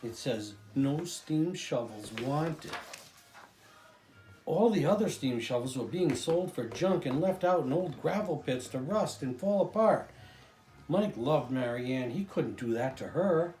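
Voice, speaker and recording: An older man reads a children's story aloud in a soft-toned, mellow voice. His pitch swings up and down expressively, and he stretches important phrases. The room adds noticeable echo around him. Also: lively intonation, normal speed, soft background music. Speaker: storybook reader, grandfather.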